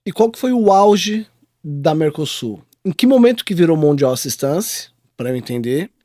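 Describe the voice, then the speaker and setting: Male podcast host, asks questions, slightly higher voice, dry sound. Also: lively intonation, moderate pace, dark tone, dry recording. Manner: short backchannel replies and questions. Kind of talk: casual interview.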